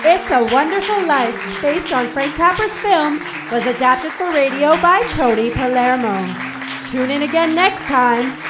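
A woman's voice: high-pitched, unhurried, crowd noise, noisy background. A woman announcing the closing credits of a community radio play. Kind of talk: scripted radio sign-off.

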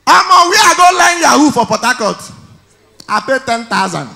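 A man in his thirties with a Nigerian accent, performing stand-up on stage with a handheld mic, telling stories loudly and shouting his punchlines. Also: very high-pitched, very animated, normal speed, vocal fry. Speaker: stand-up comedian. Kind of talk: stand-up comedy in Pidgin. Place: large indoor event hall on stage.

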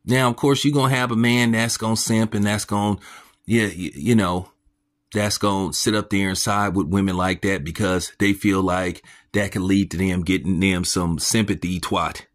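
Host, male, very low voice, blunt mocking rant, crude commentary. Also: fairly even intonation, moderate pace. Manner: pitch jumps up when incredulous. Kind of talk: ranting commentary monologue.

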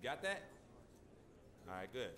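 An actor playing a cocky young basketball star in a video game, answering press questions in brash, dismissive slang. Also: male voice, mid-range voice, big pitch swings, slow, fairly bright tone, slight background noise. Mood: cocky, insistent. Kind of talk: acted press conference answers for sports game.

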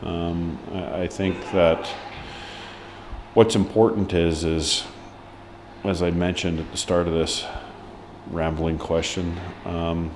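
A man's voice: low-pitched, little pitch movement, slow pace, a little mellow, a little hiss or hum. A man in his forties, a hockey head coach, giving a frank post-match interview full of ums and restarts. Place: indoor press room before a sponsor board.

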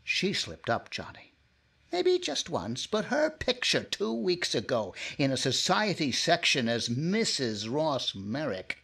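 An actor playing a sly, taunting male blackmailer in a radio-drama recreation, speaking with a husky, gravelly voice. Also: a little high, huge pitch swings, sharp tone, heavily acted. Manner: taunting, drawn-out delivery. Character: sly gloating male blackmailer, middle-aged.

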